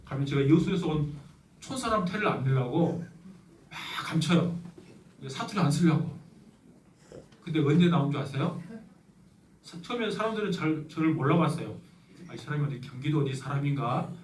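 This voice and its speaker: A middle-aged male pastor from Yeosu with a Jeolla accent, preaching a sermon in a warm, conversational storytelling way with touches of humor. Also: on the high side, moderate pace, long pauses, recorded from a distance, slight background noise. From Yeosu, South Korea. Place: church sanctuary. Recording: echoey church hall from afar.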